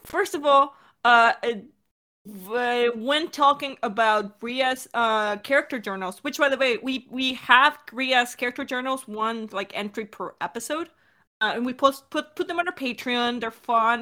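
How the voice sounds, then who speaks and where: Girly high bright voice, woman writer chatting on a podcast, playful and giggly. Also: normal speed. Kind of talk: casual Q&A chat.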